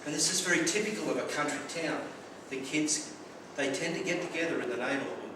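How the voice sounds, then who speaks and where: A man's voice: fairly high pitch, brisk. Australian man telling stories in an echoey hall. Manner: anecdotal, wry humour, occasional stumbling repeats. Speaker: club speaker. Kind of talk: prepared personal speech. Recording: very echoey room, distant mic, clear background noise.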